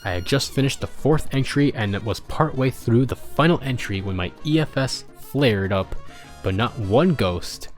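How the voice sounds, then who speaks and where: A man's voice: medium pitch, animated, moderate pace. A man reading a story aloud in a dramatic narrating style, with the odd casual aside. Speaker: fan fiction reader. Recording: music behind the voice.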